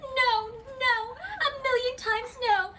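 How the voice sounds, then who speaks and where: A woman's voice: extremely high pitch, takes it slowly, crowd noise. A woman acting a pure young farm damsel in hammy radio melodrama, overwrought and innocent. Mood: overwrought refusal. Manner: breathy melodramatic indignation, overwrought. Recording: live stage with audience noise.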